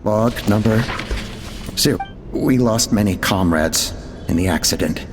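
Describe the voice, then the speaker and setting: A man voicing a zealous male researcher reading out grim log entries in a measured, solemn way. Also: on the low side, natural voice. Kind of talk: video game voice acting. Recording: long echoing reverb. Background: faint game music.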